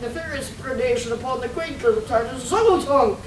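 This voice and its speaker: A woman's voice: sits high, a little fast. A woman narrating a scripted radio play in a theatrical storytelling manner. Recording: echoey hall, distant mic, noisy.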